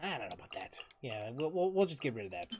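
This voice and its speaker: A game streamer chatting casually while playing, thinking aloud, repeating phrases and breaking into loud exclamations. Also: medium pitch, very animated, fast, slight background noise. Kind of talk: casual livestream chat and gameplay commentary.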